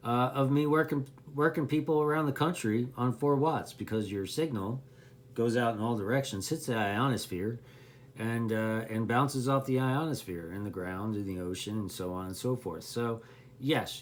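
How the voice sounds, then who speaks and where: A man giving unscripted, casual beginner advice as a hobbyist presenter, in a rambling, plainspoken, conversational way. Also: mid-range voice. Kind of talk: unscripted casual explanation to camera.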